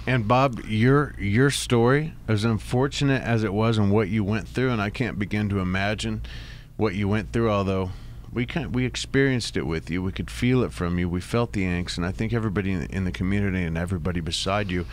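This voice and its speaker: Emphatic radio host with a very deep voice. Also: male voice, brisk, a little hiss or hum. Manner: sermon-like, emphatic, repeats phrases. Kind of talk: talk radio interview.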